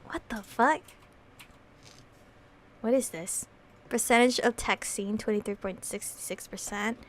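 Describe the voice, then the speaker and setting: A woman's voice: on the high side, measured pace, a little hiss or hum. A woman doing a casual visual novel playthrough, reading story text aloud and reacting with slangy, sweary commentary. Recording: music playing behind the voice. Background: background music.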